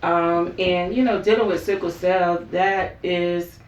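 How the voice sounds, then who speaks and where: A woman's voice: on the low side, slow. A woman in her forties, a talk show guest telling her life story in an earnest, candid way. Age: 40s. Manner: earnest, storytelling, animated when quoting herself. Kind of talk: candid personal interview. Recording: roomy, distant mic, dull sound.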